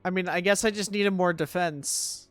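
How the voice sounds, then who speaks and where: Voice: mid-range voice, fairly even intonation, normal speed, a bit bright, dry recording. A video game streamer giving casual, slangy, offhand live commentary on the game being played. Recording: game music behind the voice. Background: game music.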